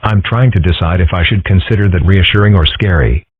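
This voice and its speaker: A man's voice: low voice, brisk, breathy edge. A man voicing an earnest, philosophical young male fox in a comic dub, with a thoughtful, dry, articulate manner. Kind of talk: comic strip dub, character acting. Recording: muffled, dull, dry audio.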